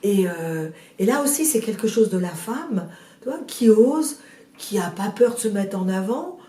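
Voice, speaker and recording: A woman in her sixties with a cold, chatting in an interview about her first novel, hesitant with many fillers and restarts but lively in bursts. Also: mid-range voice, a little slow, roomy sound, distant mic. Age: 60s. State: has a cold. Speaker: guest, novelist. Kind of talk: casual interview chat.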